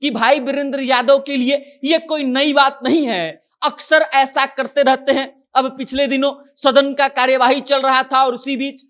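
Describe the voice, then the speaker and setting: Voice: sits high, steady tone, normal speed, few pauses, fairly bright tone. A news presenter giving informal commentary, retelling a story conversationally and voicing quoted dialogue.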